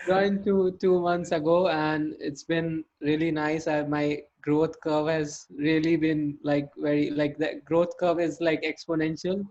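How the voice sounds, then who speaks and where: A man's voice: a little high, little pitch movement, unhurried, few pauses, darkish tone. A young man eagerly introducing himself on a video call, rambling with "like" and restarts. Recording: muffled lo-fi video call audio.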